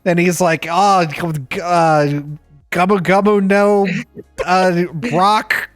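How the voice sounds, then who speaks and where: A man's voice: sits high, steady tone, slow, faint music behind. A man co-hosting a podcast in a laid-back, joking way. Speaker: podcast co-host. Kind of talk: casual chat.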